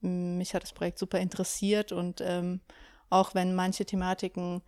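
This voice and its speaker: A woman from Ukraine, a documentary filmmaker, answering interview questions in a relaxed way with many hesitations. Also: on the low side, moderate pace, no echo, clean studio sound. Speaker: documentary filmmaker, workshop leader.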